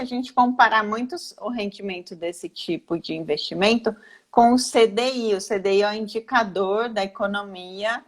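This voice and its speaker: A woman's voice: a little high, a bit bright. A woman with a Brazilian accent giving an informal, didactic explanation as a financial planner, posing questions and answering them herself. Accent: Brazilian Portuguese. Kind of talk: informal explanatory talk in a live online session.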